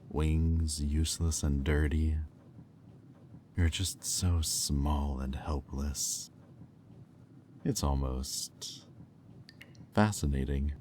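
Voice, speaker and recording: A man playing a haughty, menacing demon in roleplay audio, speaking in a condescending, deliberate, taunting drawl. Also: very deep voice, dark tone, slight background noise, exaggerated voice. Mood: mocking, fascinated. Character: haughty, menacing male demon buyer, coolly cruel and mocking. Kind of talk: slow, intimate roleplay audio acting.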